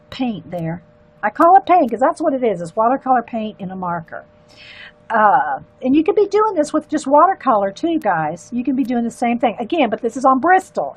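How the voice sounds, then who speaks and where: An older woman, chatting in a loud, carrying voice and rambling into asides while she colors on a livestream. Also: on the high side, rough recording. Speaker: livestream artist. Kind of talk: casual chat while coloring.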